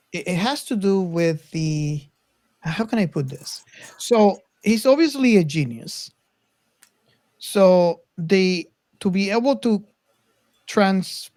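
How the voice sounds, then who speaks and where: A man co-hosting a casual film discussion, reasoning aloud in a deliberate way. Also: on the high side, darkish tone. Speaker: podcast co-host.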